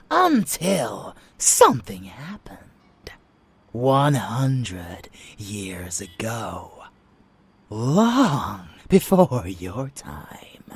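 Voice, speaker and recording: A man voicing an unhinged, fanatical vampire lover in dark romance ASMR roleplay, theatrical and menacing yet doting, husky and often dropping to a whisper. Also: fairly low pitch, heavily acted. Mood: doting, condescending. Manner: theatrical, savoring words, slow with dramatic pauses.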